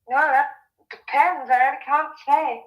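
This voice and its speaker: High-voiced, anxious farmer's daughter. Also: female voice, fairly even intonation, unhurried, muffled recording. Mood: solicitous, flustered. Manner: solicitous, a little flustered. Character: kindly, anxious farmer's daughter, young woman. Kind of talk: radio drama acting.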